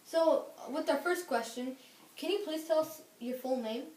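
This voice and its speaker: A woman's voice: high voice, moderate pace, a little mellow. A woman hosting a role-play interview in a cheerful, upbeat presenter manner, recorded in an echoey room from a distance. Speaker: interviewer host.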